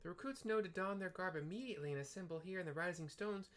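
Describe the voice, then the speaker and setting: A man's voice: high-pitched, voice pushed up, close to an everyday voice. A man voicing a flustered, overwhelmed young female receptionist from a fantasy game, fussing and exclaiming. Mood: startled, eager. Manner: exclaiming, fussing, hurried pleading.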